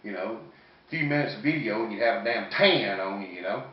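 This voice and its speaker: A man's voice: fairly low pitch, lively intonation, brisk, a little hiss or hum. A man in his fifties with a Southern US accent doing stand-up storytelling in a folksy, rambling, salty-tongued way. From American South. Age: 50s. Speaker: comedian. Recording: echoey, distant, muffled room recording.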